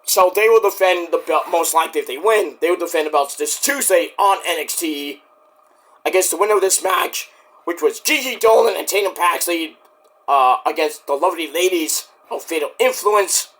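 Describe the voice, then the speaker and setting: A man with a high, bright voice that is hoarse and worn. He rambles through a casual solo wrestling review, joking as he goes. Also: moderate pace, low-quality recording. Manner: rambling, jokey, with bursts of shouting.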